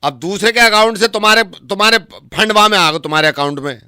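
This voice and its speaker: A man's voice: sits high, fast talker, sharp tone, clear, resonant tone, no echo, clean studio sound, voice pushed up. A man voicing a surly rustic bank clerk on a prank call, rambling and scolding in a rural North Indian Hindi accent. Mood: brusque, officious.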